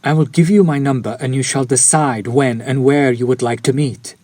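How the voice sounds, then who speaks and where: A man's voice: medium pitch, fairly even intonation, moderate pace, a little mellow, no room sound, natural voice. A man playing a courtly, centuries-old vampire in an intimate audio roleplay, speaking to the listener with formal, old-fashioned politeness. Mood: courteous, eager.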